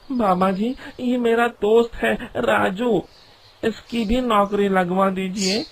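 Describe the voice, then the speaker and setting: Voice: mid-range voice, steady tone, takes it slowly, poor audio. An actor voicing a naive, reverent young male villager in a cartoon moral story. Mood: earnest, pleading. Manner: earnest, reverent.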